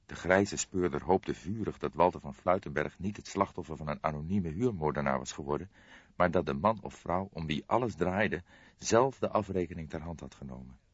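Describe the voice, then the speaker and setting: A man's voice: very deep voice, normal speed, breathy edge, no room sound, lo-fi sound. A man reading a crime novel as scripted audiobook narration.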